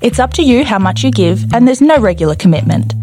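Woman announcer, fairly high voice, lively pitch, upbeat ad read, guitar music behind. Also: fairly quick. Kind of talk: promotional read.

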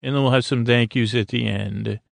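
A man with a very creaky, gravelly voice, recorded close and dry. He speaks as a podcast host lulling listeners to sleep, hesitating, stammering and drifting off into soothing, aimless tangents. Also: mid-range voice, fairly even intonation, a little fast, dry recording. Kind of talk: rambling, meandering bedtime monologue to help listeners sleep.

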